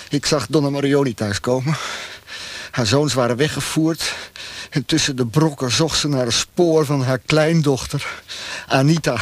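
A man's voice: medium pitch, no echo, close to an everyday voice. A man playing a weakened, pleading prisoner. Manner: earnest, halting, pleading. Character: weakened, pleading tortured prisoner, a former bank clerk. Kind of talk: radio play acting.

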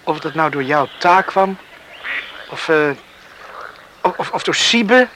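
An actor playing an earnest, tender young village man in a radio play, speaking in a halting, searching way. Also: fairly high pitch, expressive, bright, forceful tone, heavily acted. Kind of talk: Dutch radio play acting. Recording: noisy old radio play recording.

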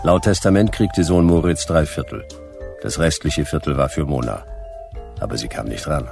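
An actor playing a weary, wisecracking private detective in a radio play, laconic and sardonic in a noir style. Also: male voice, rumbling low, animated, normal speed, gravelly, music in the background, heavily acted. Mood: dry.

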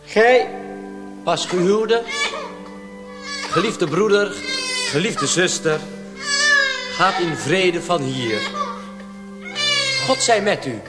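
An actor playing a gentle, frail young village priest in a radio play, solemn and halting. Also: male voice, sits high, wildly swooping pitch, loud music behind the voice, heavily acted. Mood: solemn, fervent. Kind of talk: Dutch radio play acting.

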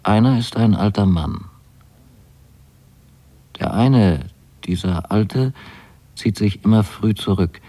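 A watchful young man confides in a low, dark, husky voice. He is hesitant and deliberate, uneasy among strangers, speaking intimately as if writing home in a radio play. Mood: confiding, wary. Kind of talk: intimate radio drama monologue, spoken as a letter.

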